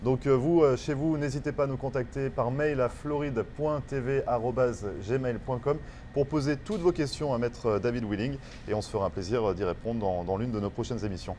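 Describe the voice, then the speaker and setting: A man's voice: mid-range voice, steady tone, fairly quick, dark tone, slight background noise. A man hosting a television interview, putting questions conversationally in loose, hesitant phrasing. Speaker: TV show host, interviewer.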